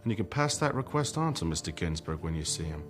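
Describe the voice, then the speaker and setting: Courteous, proud screen comedian of the 1930s, low voice, formal phrasing, period drama with music behind. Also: male voice, very animated. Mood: offended, dignified. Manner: formal, polite, measured, proud. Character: courteous, dignified big male comedy film star of the 1930s. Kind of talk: radio-play style period drama acting.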